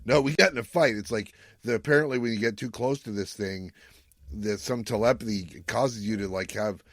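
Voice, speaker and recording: A man co-hosting a podcast, retelling a story in casual chat with animated, acted-out quotes. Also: on the low side, normal speed, no echo, clean studio sound. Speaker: podcast co-host. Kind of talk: casual recap chat about retro TV sci-fi.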